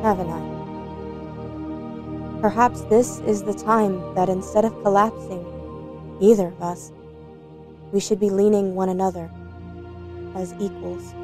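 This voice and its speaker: A woman's voice: mid-range voice, close to an everyday voice. A woman playing an earnest, wounded woman reading her heartfelt letter in a comic book dub, sincere and pleading.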